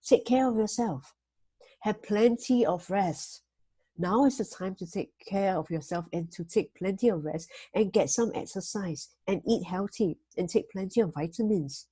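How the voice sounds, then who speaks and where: A woman hosts a motivational talk, giving weekly tips in a gentle, measured way. Her voice is mellow and slightly breathy. The sound is dry and close, with no room echo. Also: mid-range voice. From Singapore. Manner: gentle, measured, frequent "you know" fillers.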